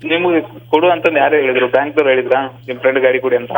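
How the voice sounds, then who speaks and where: A man's voice: mid-range voice, steady tone, racing, phone-quality sound. A man answering a loan question on the phone in a blunt, impatient manner. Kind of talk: phone conversation.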